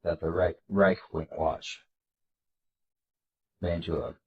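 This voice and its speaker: A man with a congested, nasal voice from a cold, hosting a video and reading slides aloud in an earnest, measured way. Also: low voice, little pitch movement, phone-quality sound. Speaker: political video host.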